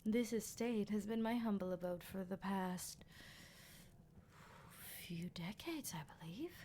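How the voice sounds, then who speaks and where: A woman playing a teasing, sardonic female vampire captor in an ASMR roleplay, speaking in an unhurried, breathy half-whisper. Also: medium pitch, lively intonation, warm tone, slight background noise, close to an everyday voice. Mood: wry, toying. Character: playful, menacing female vampire captor, decades old.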